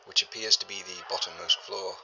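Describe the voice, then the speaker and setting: Learned 1930s expedition leader, deep male voice, bright and tense, formal diction, deliberate, uneasy, audio drama journal, faint background noise. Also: steady tone, exaggerated voice, poor audio. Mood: grave unease. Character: 1930s archaeologist doctor, learned, measured, increasingly uneasy man. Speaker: expedition leader keeping a recorded log. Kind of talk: scripted audio drama monologue, spoken journal.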